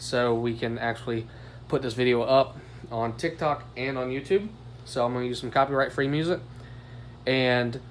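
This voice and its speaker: American man, mid-pitched voice, casual reviewer talk, phone microphone, light ambient noise. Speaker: product reviewer. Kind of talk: casual product demo talk. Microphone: phone above the bench. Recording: noisy phone microphone recording.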